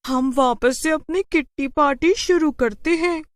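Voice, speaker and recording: A woman's voice: sits high, a little slow, no room sound, studio quality, voice pushed up. A woman voicing an impatient, greedy, gleefully bossy witch in a cartoon horror story. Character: impatient, greedy witch eager to cook humans. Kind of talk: animated cartoon horror voice acting.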